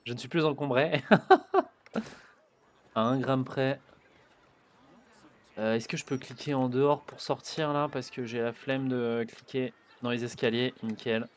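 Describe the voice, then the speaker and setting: A man's voice: mid-range voice, measured pace, pauses often. Chatty man commenting on a game. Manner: chatty, muttering and swearing at the game. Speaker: streamer playing a video game. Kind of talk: casual let's-play commentary.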